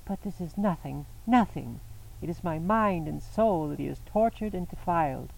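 A man's voice: a little high, animated, moderate pace, creaky, a little mellow, voice pushed up, poor audio. A man reading an audiobook voices an anguished young woman held captive, speaking in a pleading, impassioned way. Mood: distraught. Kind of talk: audiobook character voice.

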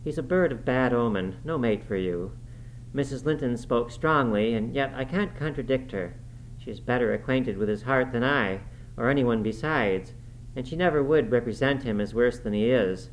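A man's voice: mid-range voice, normal speed, a little hiss or hum, natural voice, low-quality recording. A man voicing a plain-spoken, sensible housekeeper woman, earnest and cautioning, in a storybook reading. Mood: admonishing.

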